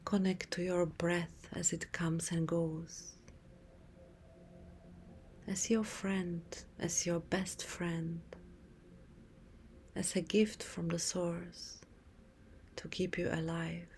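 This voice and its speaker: A woman speaks in a soft, breathy half-whisper, soothing and unhurried with long pauses, as a yoga teacher leading a guided relaxation meditation. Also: fairly low pitch, flat delivery, a little hiss or hum. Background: music.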